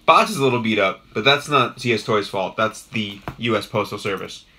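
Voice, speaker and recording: A young man talks loosely on a live stream, his voice raspy and hoarse from a worn-out throat. His tone is bright and forward, and he wanders between thoughts with lots of filler and restarts. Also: lowish voice, fairly quick, slight background noise. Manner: rambling, with many ums and restarts, greeting viewers in chat. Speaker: YouTube host doing a live unboxing. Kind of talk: casual live-stream chat.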